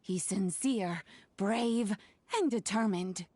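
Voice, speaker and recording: Slow-talking kindly old granny. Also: female voice, medium pitch, warm tone, slightly rough. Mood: warm, proud. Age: older. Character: kindly old granny, proud, doting and reassuring. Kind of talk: video game character dialogue.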